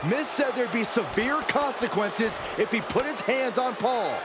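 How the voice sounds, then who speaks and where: A man playing an animated wrestling commentator in a video game, calling the action in a loud, exclamatory way. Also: very high voice, unhurried. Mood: excited. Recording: loud crowd and arena noise.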